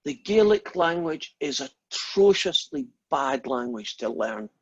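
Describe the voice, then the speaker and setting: A man's voice: a little high, very animated, a little slow, vocal fry, breathy edge, dry recording, dull audio. A Scottish man, a maths lecturer, wandering off topic into rambling, self-deprecating stories during an informal class. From Scotland. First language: Scots.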